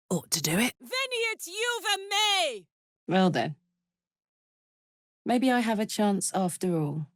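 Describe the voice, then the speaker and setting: A woman playing a big, horned hellspawn warrior in a video game, brash and casual, warm-hearted, playful and hot-tempered. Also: on the low side, no echo, clean studio sound, full character voice. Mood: battle-ready. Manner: brash, casual, emotionally open. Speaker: video game character voice actor. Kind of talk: expressive video game voice acting.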